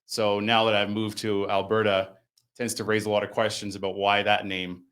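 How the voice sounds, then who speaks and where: Low-voiced, easygoing Canadian guest man. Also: little pitch movement, normal speed, fairly bright tone. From East Coast of Canada. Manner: friendly, laughs easily, self-correcting. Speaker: podcast guest. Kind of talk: casual interview chat.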